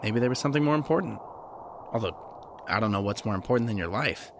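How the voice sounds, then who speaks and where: Earnest, gentle young man. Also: on the low side, expressive, quick pace, background noise. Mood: curious, concerned. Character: Aiden, earnest bookish kind young man. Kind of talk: audio drama acting. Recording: music bed under the voice.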